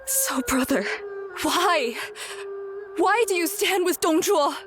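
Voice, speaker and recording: A woman's voice: very high voice, animated, slow, over music, full character voice. A woman playing a devoted, earnest young noblewoman in a video game drama, with a pleading, dramatic delivery. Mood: wistful pleading. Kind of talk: video game cutscene acting.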